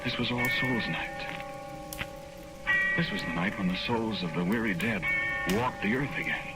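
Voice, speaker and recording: Condemned prisoner: deep male voice, haunted, brooding and halting, 1940s radio drama over heavy music. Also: expressive, rough recording. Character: desperate condemned prisoner, innocent, haunted, narrating his own ghostly tale.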